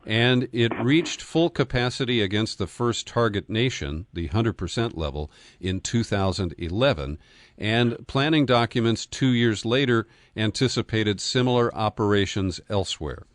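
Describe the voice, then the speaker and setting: A man hosting an interview, phrasing his commentary and questions in a deliberate, careful way. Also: fairly low pitch, animated, no room sound. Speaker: podcast host, interviewer.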